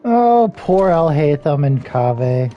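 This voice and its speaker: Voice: mid-range voice, big pitch swings, slow, dull audio. A game streamer chatting casually while playing, rambling and half muttering to themself. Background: soft game music.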